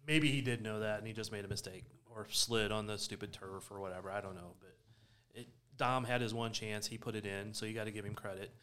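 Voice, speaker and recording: A man's voice: on the low side, fairly even intonation, fairly quick, a bit bright. A man in casual soccer discussion on a podcast, matter-of-fact and ready to argue his point. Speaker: sports podcast panelist.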